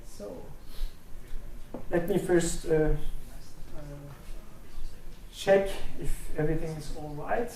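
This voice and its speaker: Turkish-accented man, research talk, high-ish voice, stop-start delivery, echoey room, off-mic. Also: steady tone, slow, gravelly, pauses often, distant mic, noisy background. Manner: hesitant, many fillers and restarts. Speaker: speech researcher giving a talk. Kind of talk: conference presentation with live demo. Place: lecture room. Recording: roomy hall from off-mic.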